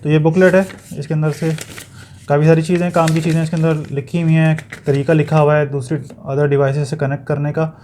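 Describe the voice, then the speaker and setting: A Pakistani man with a soft, mellow tone and a slightly high pitch talks through a product in a casual review style. He explains step by step, with some hesitant repetition. Some background noise sits behind his voice. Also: little pitch movement, a little fast. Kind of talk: casual unboxing and review narration.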